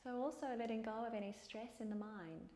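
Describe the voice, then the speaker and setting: A woman teaching yoga and guided meditation in a calm, soothing way, with unhurried pauses between phrases. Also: on the high side. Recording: echoey room.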